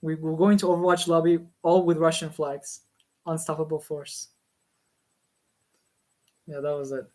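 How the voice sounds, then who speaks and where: A man's voice: a little high, level tone, normal speed, long pauses, a little mellow. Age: adult. Sex: man. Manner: teasing, pushy. Style: online voice chat. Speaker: voice chat participant.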